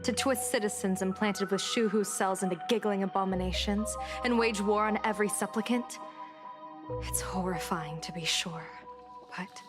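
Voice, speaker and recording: A woman's voice: mid-range voice, natural voice. An actress voicing a composed, grave female general in a game. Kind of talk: voiced game dialogue. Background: game music.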